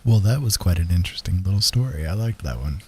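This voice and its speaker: A tired man hosting a livestream, chatting casually in a rambling, appreciative way with pauses. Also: low-pitched, lively intonation, husky. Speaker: livestream host, digital painter.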